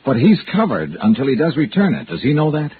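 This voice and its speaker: Dry hard-boiled investigator, animated pitch swings. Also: male voice, fast, a little hiss or hum. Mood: determined. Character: shrewd persistent male insurance investigator, dry and hard-boiled. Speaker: radio detective lead and narrator. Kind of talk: old-time radio detective acting. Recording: muffled vintage radio recording, music behind.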